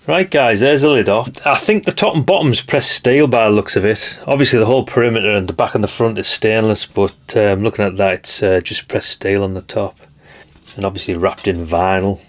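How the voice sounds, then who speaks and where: British guy, lowish voice, chatty rambling show and tell, keeps adding little tag questions. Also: a little fast. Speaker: presenter of a vintage radio channel. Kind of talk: casual hands-on product walkthrough.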